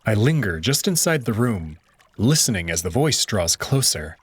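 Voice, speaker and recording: Male narrator for a game reading; measured, tense delivery, husky tone. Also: on the low side, sing-song, no room sound. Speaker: visual novel narrator. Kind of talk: first-person story narration.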